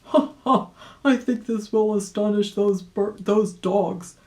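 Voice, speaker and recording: A polite old gentleman rabbit from a children's storybook, played by a woman. He sounds earnest and resolute, a plucky and determined elderly fellow. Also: mid-range voice, steady tone, takes it slowly, voice pushed up, close to an everyday voice. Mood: gleeful. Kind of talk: children's storybook read-aloud.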